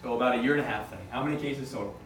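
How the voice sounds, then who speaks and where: A man's voice: medium pitch, rapid-fire, natural voice. A man reading the part of an accused businessman, blunt and resigned, in an amateur script table read. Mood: businesslike. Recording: distant, echoey room with faint noise.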